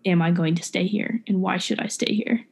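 A woman's voice: lowish voice, steady tone, moderate pace, gravelly, darkish tone, slight background noise. A woman in her twenties making an earnest point in a casual discussion, asking rhetorical questions.

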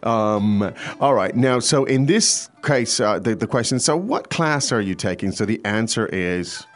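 A man's voice: fairly low pitch, unhurried, faint music behind. A man hosting a podcast language lesson, explaining at an easy pace with frequent hesitations. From foreign to China. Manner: relaxed, explanatory, many hesitations. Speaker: language teacher host.

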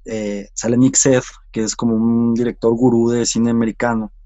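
A Mexican film director talking casually in an interview, halting with many restarts and fillers. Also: male voice, mid-range voice, flat delivery, muffled recording, crowd noise.

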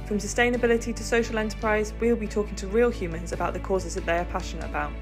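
A woman's voice: medium pitch, steady tone, very fast, music in the background. A young woman from the UK hosting a podcast, chatty and friendly with lots of ums. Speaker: podcast host.

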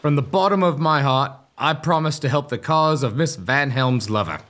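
A man playing a confident, scheming young suitor who talks briskly and smoothly in a gothic comedy audio drama. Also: on the high side, a bit bright, close to an everyday voice. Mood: mock-sincere.

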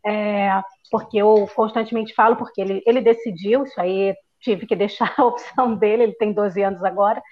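A woman's voice: medium pitch, fairly even intonation, brisk. A woman from Rio de Janeiro with a Carioca accent, a podcast guest and language teacher chatting casually and dropping many filler tags. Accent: Carioca Brazilian Portuguese. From Brazil (Rio de Janeiro). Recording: dull lo-fi sound.

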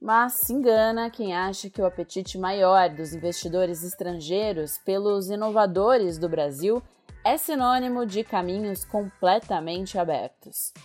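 A woman's voice: mid-range voice, faint music behind. Woman narrating a scripted news report. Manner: measured, addresses the listener directly.

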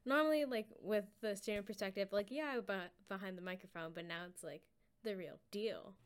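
A woman's voice: medium pitch, brisk, clean tone. A young woman who produces the podcast, talking in an upbeat way and saying "like" often. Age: young adult. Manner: upbeat, uses "like" a lot. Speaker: student coordinator and podcast producer. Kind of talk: casual podcast chat.

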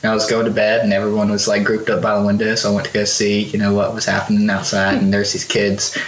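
A man from Russia, a podcast guest, telling his life story in a relaxed, rambling way with many fillers and restarts. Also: fairly low pitch, fast. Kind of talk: casual storytelling chat. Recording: roomy echo.

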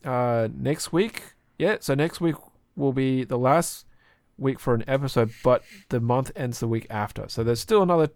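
Hoarse gravelly guy rambling on a podcast, dry close sound. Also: medium pitch, normal speed, a little mellow, no room sound. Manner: chatty, rambling with fillers. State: hoarse, sore throat. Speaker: podcast co-host. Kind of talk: casual podcast chat.

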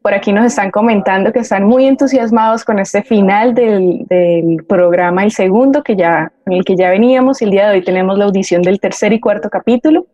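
Colombian female co-host, soft-toned mellow voice, live presenting, very dry recording. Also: mid-range voice, a little fast, hardly any pauses, no room sound. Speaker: co-host reading audience comments. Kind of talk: live presentation, greetings and read-out messages.

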